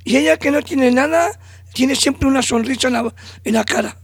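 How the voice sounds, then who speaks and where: A woman's voice: sits high, moderate pace, vocal fry, breathy edge, dry recording, studio quality. A woman interviewing casually, chiming in with short prompts and paraphrases.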